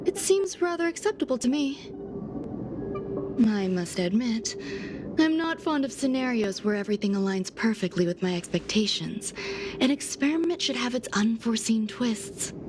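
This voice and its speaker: A woman voicing a soft-spoken, detached genius scientist in a game cutscene, calm and measured, at times dropping to a whisper. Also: fairly high pitch, noisy background. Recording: game audio with music behind. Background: music.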